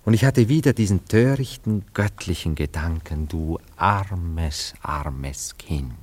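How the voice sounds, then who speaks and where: A man's voice: low-pitched, slow pace, a little mellow. An actor playing a melancholy, self-dramatizing Viennese ladies' man in a German radio play, musing theatrically and lingering sentimentally over his phrases. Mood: dreamy, rapt.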